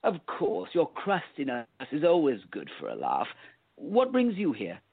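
A man playing a pompous, jovial, sly gentleman in a radio play, speaking in an affected, genteel, condescending way. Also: fairly high pitch, wildly swooping pitch, measured pace, creaky, husky, no echo, exaggerated voice. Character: pompous, jovial, sly gentleman time traveler, full of himself. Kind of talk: hobby radio play acting. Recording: dull lo-fi audio.

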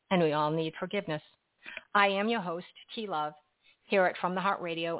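A woman hosting a radio talk show and reading her sign-off in a polished, gently emphatic way. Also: fairly low pitch, dry recording, phone-quality sound.

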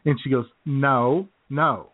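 A man co-hosting a chat show, telling a story in a relaxed way, often chuckling and clearing his throat. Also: medium pitch, slow. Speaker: podcast co-host. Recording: phone-like lo-fi audio.

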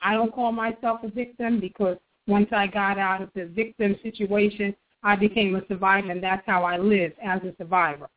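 A woman's voice: medium pitch, fairly even intonation, moderate pace, few pauses. A middle-aged woman from New York, a guest author on a phone interview, telling her story in an earnest, motivational way. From New York, United States. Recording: tinny phone line.

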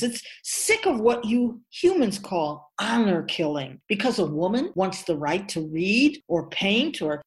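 A woman playing an otherworldly feminine spirit in a radio drama, speaking in a deliberate, emphatic, oratorical way, grave and candid. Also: mid-range voice. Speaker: spirit guide being interviewed. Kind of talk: radio drama monologue, reflective.

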